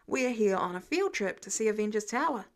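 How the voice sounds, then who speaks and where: A woman's voice: mid-range voice, animated, brisk, natural voice. A woman voicing an exuberant, officious school vice principal who is plainly starstruck.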